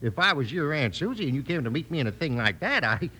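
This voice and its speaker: Raspy, high-voiced cranky rancher. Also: male voice, sing-song, fast, pushed and bright, full character voice. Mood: exasperated. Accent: American. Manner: hesitant, stammering drawl with comic exclamations. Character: exasperated, folksy male rancher of the Old West. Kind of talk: 1950s western radio acting.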